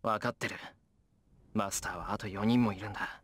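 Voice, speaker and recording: A man's voice: lowish voice, fairly even intonation, rapid-fire, fairly bright tone, slightly rough. An actor playing an earnest teenage boy hero in a visual novel, plain-spoken, hesitant yet resolute. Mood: grave, resolute. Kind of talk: Japanese visual novel voice acting.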